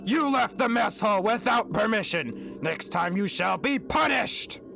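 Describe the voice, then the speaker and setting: A man voicing an angry, authoritative prison guard who barks orders in a shout. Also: high-pitched, lively intonation, a little slow, creaky, pitched above the performer's own voice, exaggerated voice. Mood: angry commanding.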